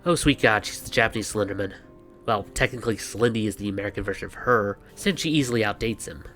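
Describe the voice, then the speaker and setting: A man's voice: on the low side, fast talker, fairly bright tone. A man hosting a scripted explainer with banter, speaking in a wry, sarcastic way with exasperated asides. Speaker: video host. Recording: music behind the voice. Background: background music.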